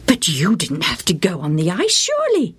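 A woman's voice: fairly low pitch, wildly swooping pitch, normal speed, husky, exaggerated voice. A woman voicing a worried mother who fusses and scolds her son. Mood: scolding. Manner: scolding, exclaiming. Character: fussing, worried country mother.

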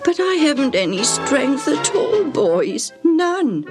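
Woman voicing a shaky, high, whining timid man. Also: big pitch swings, takes it slowly, over loud music, exaggerated voice. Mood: weakly protesting. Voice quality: shaky, whiny. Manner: pleading, plaintive. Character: timid, whining paralyzed man, fretful and fearful. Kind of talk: children's Bible story character voice.

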